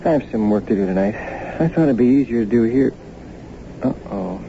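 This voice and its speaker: A man's voice: medium pitch, lively intonation, normal speed, lightly acted. A man acting in a radio drama as a practical, easygoing husband who talks in a casual, matter-of-fact way. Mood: relaxed. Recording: muffled, noisy old radio broadcast.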